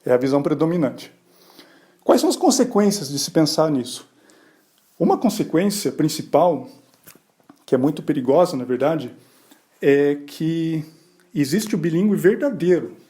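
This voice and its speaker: Mellow-voiced man explaining casually. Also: on the high side, expressive, moderate pace, pauses often. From Brazil. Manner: conversational, rhetorical questions, frequent "né?" tags; brief voiced asides acting out other people. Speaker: podcast host. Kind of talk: explanatory solo podcast talk.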